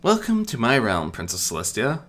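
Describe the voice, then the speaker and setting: An ancient goddess of life, as a narrator puts her on, speaking with calm, regal formality. She sounds motherly and indulgent, and her pitch moves freely through each storybook line. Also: moderate pace, slight background noise. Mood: welcoming, regal. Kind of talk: amateur audiobook character reading.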